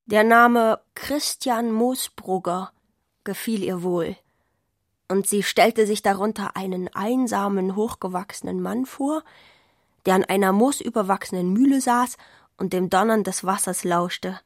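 A woman's voice: mid-range voice, measured pace, dry recording, clean studio sound. A woman narrating a literary radio drama from a script.

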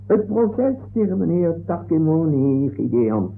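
An actor playing a formal, officious and impatient courtroom judge in a 1960s comic radio play. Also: male voice, fairly high pitch, sing-song, measured pace, creaky, full character voice. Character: stern, formal, exasperated male courtroom judge. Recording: noisy narrow-band old radio recording.

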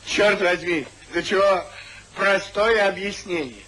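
An actor playing an excitable older gentleman husband, blustering and sardonic, in a 1950s radio comedy. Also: high-pitched, takes it slowly, heavily acted, rough recording. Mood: bitter sarcasm. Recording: hissy 1950s radio play recording.

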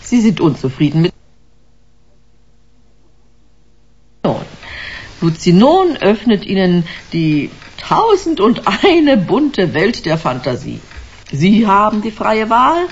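A woman's voice: mid-range voice, wildly swooping pitch, unhurried, husky, heavily acted. An actress playing a smug, commanding company director in a German sci-fi radio drama, suave and theatrical, savouring her words. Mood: seductive sales pitch. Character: smug, commanding female corporate director selling a drug. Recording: dull, hissy radio play recording.

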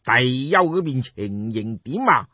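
A man's voice: mid-range voice, expressive, husky. A man with a Cantonese accent voicing a boastful, jovial but ruthless ancient king in an audiobook. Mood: smug, menacing. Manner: boastful, drawn-out, laughing. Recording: dull, roomy lo-fi recording.

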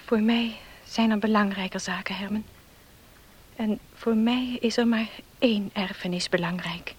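A woman playing a gentle, pious young fiancée in a Dutch radio play, earnest and pleading, with a breathy voice. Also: mid-range voice, steady tone, unhurried, lo-fi sound.

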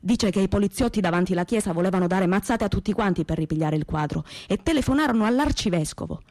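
A woman's voice: medium pitch, lively intonation, fast talker, a little mellow, dry recording, natural voice. An actress playing a plain-spoken, proud young working-class woman with a Calabrian accent, telling her memories in earthy, colloquial radio theatre. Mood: earnest, fervent. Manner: earthy, colloquial, repetitive folk storytelling. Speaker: stage actress performing a monologue. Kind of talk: dramatic first-person storytelling in dialect-tinged Italian radio theatre.

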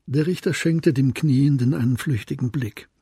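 Mid-pitched male radio drama narrator. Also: moderate pace, dark tone, slightly rough, no echo.

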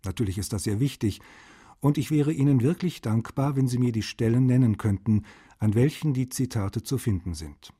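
An actor as a courteous translator, mellow husky voice. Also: male voice, medium pitch, moderate pace, close to an everyday voice. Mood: courteous, earnest. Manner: polite, formal. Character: courteous male literary translator writing to an author. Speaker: voice actor reading letters. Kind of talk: dramatised letter reading in a radio feature.

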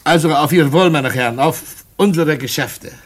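An actor in a German radio play playing a suave, condescending gang boss, urbane and falsely courteous, with deliberate hesitations. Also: male voice, fairly high pitch, slight background noise, lightly acted. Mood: lofty, genial.

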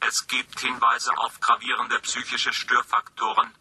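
An impassive testing machine from a science fiction radio play. The voice is very monotone and piercingly bright, with formal, clinical, pedantic phrasing. A faint noise sits behind it. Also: male voice, medium pitch, measured pace.

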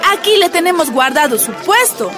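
A woman's voice: very high voice, sing-song, moderate pace. A woman playing a respectful committee comrade in a Bolivian radio drama, with a crowd and music behind her. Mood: welcoming, firm.

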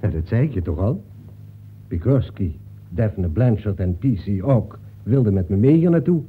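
An actor in a Dutch radio play, playing a talkative, breezy detective from a novel who nags in a familiar way. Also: male voice, lowish voice, expressive, moderate pace, husky. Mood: indignant. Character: talkative fictional detective come to life, chummy, reproachful. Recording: muffled phone-like old radio recording.

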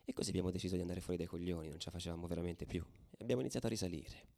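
A man's voice: sits low, racing, gravelly. A man playing a furious, worn-out young football ultra in a dramatic radio theatre monologue. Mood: grim. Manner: swinging from hushed telling to shouted frenzy. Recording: drum music behind the voice.

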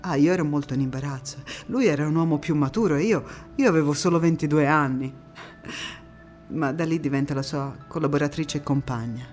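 Woman acting young partisan heroine, low voice, passionate, theatrical storytelling, emphatic, music underneath. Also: fairly quick, a little mellow, lightly acted. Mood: reminiscing. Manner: dramatic, emphatic delivery. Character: passionate young woman anti-fascist partisan recounting her war.

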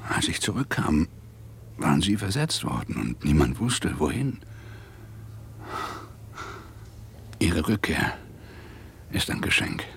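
An actor playing a hard-boiled, shaken New York police detective in a radio crime drama, weary and angry, in terse, measured phrases. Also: male voice, sing-song, heavily acted. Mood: relieved. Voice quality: deep, gravelly. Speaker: actor, narrator and lead. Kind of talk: radio crime drama, intimate first-person monologue and dialogue.

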